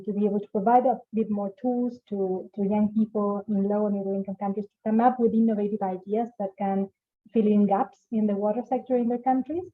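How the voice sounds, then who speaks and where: A woman from Argentina with an Argentine accent, presenting at an online conference as an organization director, with many fillers and restarts. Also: mid-range voice. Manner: many fillers and repeated starts. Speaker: organization director, panel speaker. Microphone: video call. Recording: tinny phone-like call audio.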